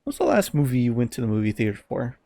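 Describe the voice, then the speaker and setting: A man co-hosting a podcast in casual chat, halting as he searches for his words. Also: medium pitch, very animated, gravelly, mellow, no echo. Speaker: podcast co-host.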